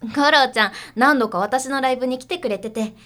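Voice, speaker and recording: A woman's voice: high voice, brisk, sharp tone. A young woman playing a perky, cheeky underground idol with a gushing, breezy manner in a radio drama.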